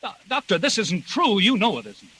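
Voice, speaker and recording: An actor playing an agitated, defensive husband under suspicion in a 1940s radio murder drama. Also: male voice, sits high, big pitch swings, moderate pace, bright, forceful tone, slight background noise, heavily acted. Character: agitated, defensive husband suspected of killing his wife.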